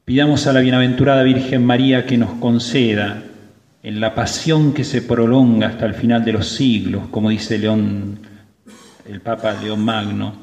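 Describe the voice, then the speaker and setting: A priest preaching a sermon at Mass, slow and emphatic, stressing key words. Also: male voice, medium pitch, a little mellow, lo-fi sound. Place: church. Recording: roomy church with long reverb.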